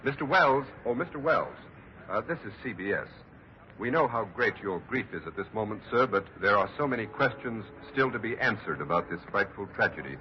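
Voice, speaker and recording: A man acting an urgent, solemn reporter in an old radio drama. His voice is lowish, formal and dramatic, heard through a muffled broadcast with clear background noise. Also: animated, brisk, lightly acted. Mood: earnest, urgent. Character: grave, urgent male radio news reporter. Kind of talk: 1940s radio acting, live news reporting.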